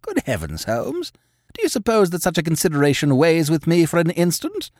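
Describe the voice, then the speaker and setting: Man playing an earnest, dismayed doctor, animated. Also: a little high, a little fast, dry recording, studio quality, voice pushed up. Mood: indignant, loyal. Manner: earnest, protesting. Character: loyal male doctor, earnest, dismayed old friend.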